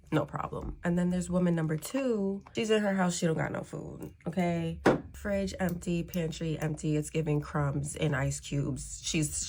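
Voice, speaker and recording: A woman with a slightly low voice shares a story and advice in a casual way. She talks in loose slang and plays out both sides of conversations. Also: warm tone. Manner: slangy, conversational, acts out dialogue. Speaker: social media creator.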